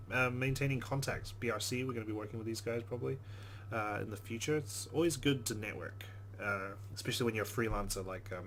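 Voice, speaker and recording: A man's voice: on the low side, fairly bright tone, a little hiss or hum, low-quality recording. A man hosting a let's play, giving casual game commentary and reading the game's text aloud.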